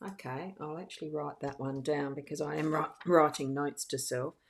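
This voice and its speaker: Female live-stream card reader, exceptionally low voice, casual, giggly and a bit scattered. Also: fairly even intonation, moderate pace, gravelly. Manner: chatty, giggly, scattered, thinking aloud.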